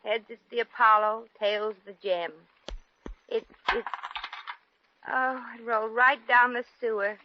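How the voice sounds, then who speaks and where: A slightly high-pitched, wisecracking showgirl played by a woman from an old radio comedy. She talks in sassy slang, and the recording is dull and faintly hissy. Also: steady tone, unhurried, pauses often, lightly acted. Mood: weary, wry. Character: brassy, wisecracking, hard-up showgirl. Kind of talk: 1950s radio comedy acting.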